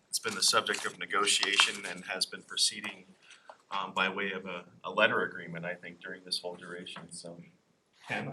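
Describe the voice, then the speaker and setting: A man with a fairly low voice introduces a guest at a council meeting, in a businesslike tone. He sits back from the mic, and the chamber recording is noisy. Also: recorded from a distance. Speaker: city attorney.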